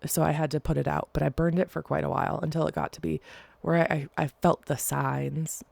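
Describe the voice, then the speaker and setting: Stuffy nosed podcaster chatting away solo, kinda croaky fry, goofy little tangents, dead dry sound. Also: mid-range voice, flat delivery, brisk, darkish tone, no echo. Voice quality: nasal. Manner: chatty, rambling, with playful asides. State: congested. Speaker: podcast host. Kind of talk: casual solo podcast talk.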